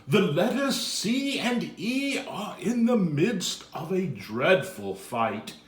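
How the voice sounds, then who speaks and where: A man's voice: high-pitched, very animated, slow, reverberant, pitched above the performer's own voice, heavily acted. A man voicing a courteous, fretful alphabet letter welcoming someone in a children's story. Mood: polite, worried. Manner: courteous, apologetic, explanatory.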